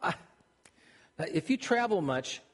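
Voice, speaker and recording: An older man with a Minnesotan accent preaches in a conversational way, often starting a sentence over. His voice sits a little high for a man. A long reverb trails each phrase, as in a large hall. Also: sing-song, takes it slowly. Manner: conversational, with frequent restarts. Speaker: pastor. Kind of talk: sermon.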